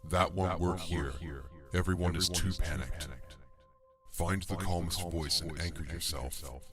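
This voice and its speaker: A man voicing a calm, commanding male dragon in a fan audio drama, giving urgent instructions in a doubled, layered voice inside someone's mind. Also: deep voice, rapid-fire, a crowd in the background. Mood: urgent, steadying.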